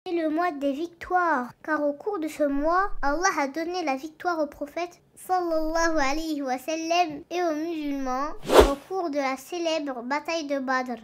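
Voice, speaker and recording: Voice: sits high, fairly even intonation, normal speed, hardly any pauses, a bit bright, a little hiss or hum. A performer voicing an earnest, pious young child who teaches and encourages in an educational cartoon, phrasing things in a didactic way. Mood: earnest, teaching. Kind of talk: scripted educational cartoon dialogue.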